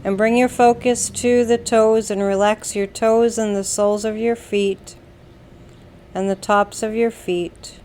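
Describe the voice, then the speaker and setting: A woman with an American accent leads a guided meditation, giving calm, soothing instructions in a deliberate way with long pauses. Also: mid-range voice, a little hiss or hum. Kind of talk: slow guided meditation narration.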